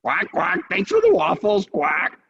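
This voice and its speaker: A performer voicing a silly purple duck mascot who quacks between sentences and shouts cheers in a school awards skit. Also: medium pitch, wildly swooping pitch, a little slow, breathy edge, highs cut off, full character voice. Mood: chatty.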